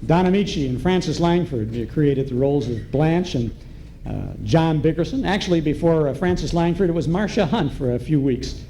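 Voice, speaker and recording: A man's voice: on the high side, lively intonation, moderate pace, mellow. A man hosting a live radio event, introducing acts and commenting on old-time shows as master of ceremonies. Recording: live ballroom event, faint noise.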